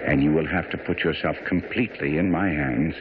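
An actor playing a shrewd, commanding Victorian detective in a radio drama, questioning with incisive authority. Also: male voice, a bass voice, fairly quick, phone-quality sound. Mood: grave, insistent. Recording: noisy, band-limited old radio broadcast.

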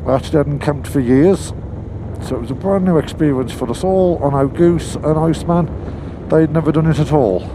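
A man's voice: on the high side, moderate pace. A British man vlogging while riding a motorcycle, talking casually and rambling in a self-mocking way. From Britain. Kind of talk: casual helmet-cam riding commentary. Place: on a moving motorcycle outdoors. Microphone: helmet-mounted mic. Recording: wind and road noise behind.

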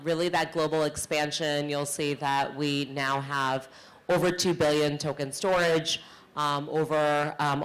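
A woman's voice: deep voice, poor audio. Upbeat woman presenting at a conference. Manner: upbeat, with frequent ums. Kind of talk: conference talk. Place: large dark event hall on stage.

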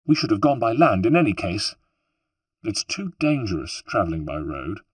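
A man's voice: lowish voice, very animated, normal speed, slightly rough, no echo. A man voicing a weak, middle-aged henpecked nobleman in a storybook, meek and wheedling with a sly streak. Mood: peevish.

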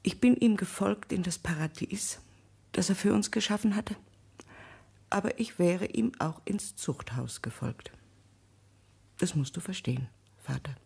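An actress playing a middle-aged, solemn, blindly loyal wife in a radio drama, husky and often hushed. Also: female voice, on the low side, measured pace, pauses often, heavily acted. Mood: solemn, devoted. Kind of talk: German radio drama acting.

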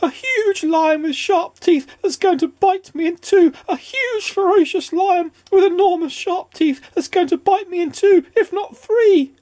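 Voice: very high voice, slow, pauses often, darkish tone, dry recording, voice pushed up. A storybook narrator voicing a tiny, hysterically nervous little man with melodramatic, shrieking exclamations. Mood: terrified shrieking.

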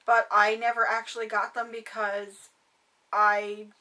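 A young woman with a hoarse, tired voice chatting casually to camera in her bedroom, rambling with lots of fillers. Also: fairly high pitch, level tone, measured pace, sharp tone, recorded from a distance. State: hoarse, voice going. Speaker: beauty vlogger. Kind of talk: casual haul chat to camera. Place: bedroom, indoors. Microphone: webcam at desk distance.

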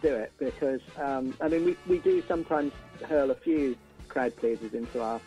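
A middle-aged male musician chatting casually as an interview guest, halting and repeating words for emphasis. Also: mid-range voice, dark tone, faint music behind, low-quality recording.

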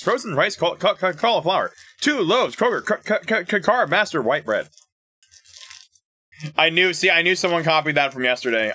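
A man streaming live, chatting casually with viewers, animated, laughing often and stumbling as he reads things aloud. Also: on the high side.

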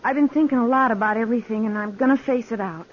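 A woman playing a troubled young saloon girl in a 1950s radio drama, soft-spoken but resolute. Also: a little high, quick pace, slight background noise, close to an everyday voice. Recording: dull old radio recording.

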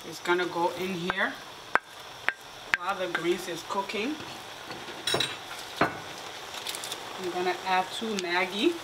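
A woman from Liberia giving a relaxed, step-by-step home cooking demonstration with light laughs. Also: on the low side, takes it slowly, long pauses. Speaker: cooking vlogger. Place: home kitchen. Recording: clear kitchen cooking noise. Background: frying and sizzling food, stirring.

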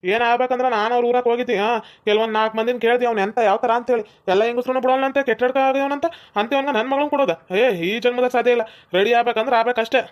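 A performer plays a stubborn village father who scolds and insists, talking very fast. The recording is dull and lo-fi. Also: mid-range voice, fairly even intonation, lightly acted. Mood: insistent.

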